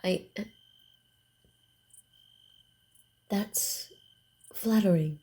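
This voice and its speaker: A woman voicing a sly, guarded, secretive female vampire in a hushed, theatrical and deliberate ASMR roleplay style. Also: mid-range voice, huge pitch swings. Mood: hesitant, guarded.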